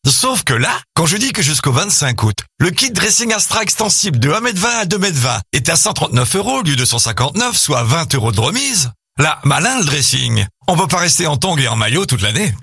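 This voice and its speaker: A man's voice: a little high, very animated, fairly quick, creaky, pushed and bright, slightly rough, no room sound, studio quality, heavily acted. A man playing a casual, mock-apologetic holidaymaker in a conversational radio advert. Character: laid-back young-spirited man on summer holiday, in flip-flops.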